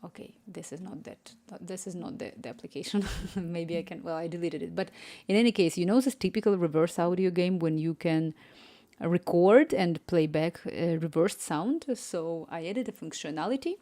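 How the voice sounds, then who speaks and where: A woman's voice: on the low side, moderate pace, mellow. Ukrainian woman with a slight Spanish lilt. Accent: Ukrainian with Spanish influence. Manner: chatty, distracted, reads chat aloud, murmurs to herself. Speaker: live-streaming app developer. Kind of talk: casual live-stream chat while coding. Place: home room.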